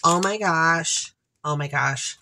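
A congested woman in her forties chats through a store haul with rambling, upbeat asides, her voice sitting somewhat low for a woman. She talks to a webcam while seated in a room at home. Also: slow pace, sharp tone, a little hiss or hum. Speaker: haul vlogger, channel host. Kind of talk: casual haul chat to camera. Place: home room with shelves, indoors.

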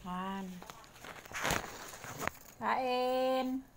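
A woman's voice: high voice, drawn-out words, creaky, noisy background. A middle-aged Filipino woman chatting casually to viewers in short, repeated phrases while eating, recorded on a phone in a room at home. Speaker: vlogger. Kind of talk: casual mukbang chat. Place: small indoor room at home. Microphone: phone at arm's length.

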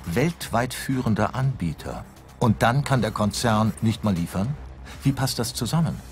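Low male voice-over, investigative and questioning, over music. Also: animated, moderate pace. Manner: questioning, probing. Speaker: documentary narrator. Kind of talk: scripted narration.

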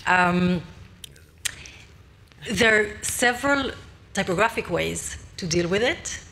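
A woman's voice: mid-range voice, expressive, takes it slowly, pushed and bright, a little hiss or hum. A woman from Israel, a native Hebrew speaker, commenting from the audience into a handheld mic, with friendly, hesitant fillers. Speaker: audience member asking a question. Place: large indoor hall. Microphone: throwable audience mic.

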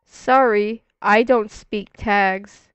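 A woman's voice: mid-range voice, fairly even intonation, very slow, clear, resonant tone, no echo, lo-fi sound. A woman voice acting a scripted roleplay story. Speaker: creator narrating and voicing characters in Roblox roleplay stories.

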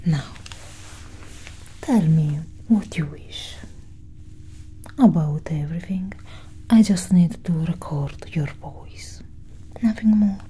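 A young woman whispering breathily and deliberately in a soft ASMR roleplay as a calm alien scientist, close to the mic. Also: breathy edge. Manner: slow, deliberate, hushed. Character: calm, clinical female alien scientist examining a human. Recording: steady low engine-like hum.

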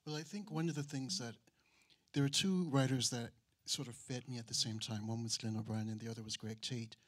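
A middle-aged man, speaking reflectively in a panel discussion, pausing and rephrasing as he goes into a handheld mic. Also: medium pitch, fairly even intonation. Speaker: writer and critic on a panel. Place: auditorium stage. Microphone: handheld microphone held near mouth.

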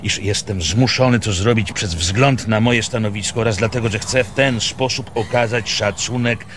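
An actor in a radio play as a pompous, officious male village schoolteacher, speaking formally and pedantically in a husky voice. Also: fairly low pitch, normal speed, a bit bright, a little hiss or hum, natural voice. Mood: haughty, grudging. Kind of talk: Polish radio theatre acting.

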